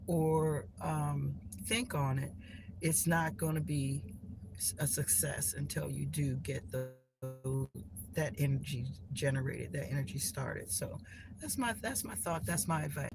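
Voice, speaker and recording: A woman hosting a live stream from her phone at home, talking casually and loosely about spiritual lessons, with many restarts and fillers. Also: low voice. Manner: rambling, many restarts and "you know" fillers. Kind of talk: casual spiritual talk to viewers. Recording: clear background noise.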